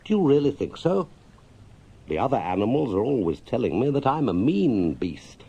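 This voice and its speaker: Man voicing a hearty, excitable storybook water rat. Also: mid-range voice, moderate pace, husky, muffled recording. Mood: breezy. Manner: hearty, chatty, exclamatory English gentleman talk. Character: brisk, friendly, excitable male water rat of the riverbank. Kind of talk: children's storybook character reading.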